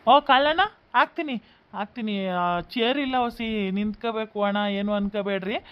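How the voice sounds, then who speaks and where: A man's voice: very high-pitched, brisk. A man playing an obliging, chatty village tea seller in a rural drama, recorded in dull lo-fi sound.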